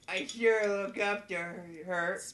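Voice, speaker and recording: A man's voice: high-pitched, steady tone, measured pace, fairly bright tone. A man voicing a naive, eager young female small-town gossip reporter in an amateur comedy radio play, talking in a folksy, ungrammatical way. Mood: gushing. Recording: noisy home podcast recording.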